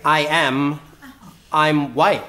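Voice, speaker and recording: Low-voiced, bewildered female office worker. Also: steady tone, extremely slow, noisy background. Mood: baffled. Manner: hesitant, casual, incredulous. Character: bewildered, skeptical female tech start-up employee. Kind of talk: naturalistic comedy radio play acting.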